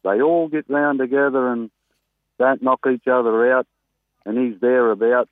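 A man's voice: mid-range voice, normal speed, no echo. Australian man on a tinny phone line. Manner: chatty, rambling, excitable about racing. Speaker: greyhound trainer, guest caller. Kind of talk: casual phone interview. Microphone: telephone.

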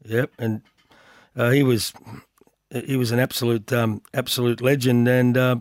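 An Australian man with a husky voice, hosting a racing radio show, talking with lots of hesitant fillers. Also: medium pitch, little pitch movement, measured pace, dry recording, clean studio sound. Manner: hesitant, many filler words. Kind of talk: radio interview and news roundup. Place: radio studio.

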